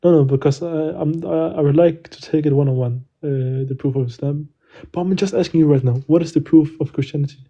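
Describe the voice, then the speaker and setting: A man's voice: fairly high pitch, brisk, mellow, highs cut off. A young man in a live online debate who keeps questioning the hosts, stumbling and repeating himself. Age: young adult. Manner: hesitant, repeats himself, stumbles over words. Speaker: caller challenging the hosts.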